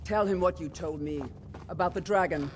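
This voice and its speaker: Stern low-voiced woman acting a fantasy officer, reverberant. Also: moderate pace, a little hiss or hum, lightly acted. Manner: curt, commanding. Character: stern, no-nonsense female military officer in fantasy realm. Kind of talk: video game character dialogue. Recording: long reverb, music behind.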